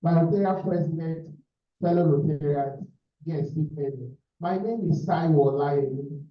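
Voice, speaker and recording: A man's voice: a little high, normal speed. A man from Nigeria, a visiting club president, giving assertive remarks at a meeting. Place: meeting room. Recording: echoey room, distant dull sound.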